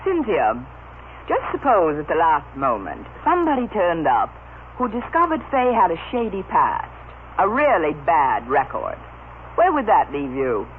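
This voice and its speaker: A woman's voice: lowish voice, huge pitch swings, a little slow, phone-quality sound. A woman playing a dry, skeptical gossip columnist needling her friend in an old-time radio soap opera. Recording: crackly, thin old radio recording.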